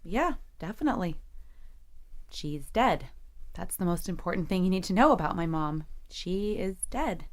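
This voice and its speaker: A woman's voice: on the low side, animated, normal speed, natural voice. An actress playing a defensive young woman in therapy, guarded and sarcastic, in an audio drama. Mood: guarded, reflective.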